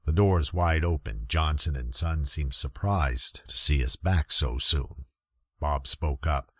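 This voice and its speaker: A man playing a weary, gruff, middle-aged police lieutenant, narrating a radio murder mystery with wry, folksy grumbling in a gravelly voice. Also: rumbling low, slow pace, no room sound, full character voice. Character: gruff, weary old-school male police lieutenant narrating hardboiled detective story.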